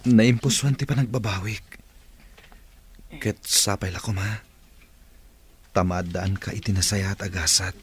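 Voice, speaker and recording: A man's voice: on the low side, steady tone, a little slow, pauses often, lightly acted. A man playing a humble, earnest young prisoner full of remorse in a radio drama, with an Ilocano Filipino accent. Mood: pleading, sincere.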